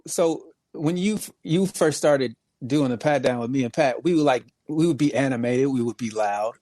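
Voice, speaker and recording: A man's voice: mid-range voice, normal speed, darkish tone, dry recording, low-quality recording. A man who is a guest on a podcast, talking in an emphatic, explanatory way in casual discussion.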